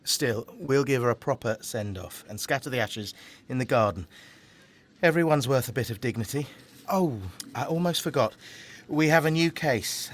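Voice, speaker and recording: A man's voice: medium pitch, a little hiss or hum, lightly acted. Friendly, kindly office man, played by an actor. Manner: friendly, chuckling, slightly hesitant. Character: cheerful, kindly, easily amused male council funeral officer. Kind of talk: naturalistic audio drama acting.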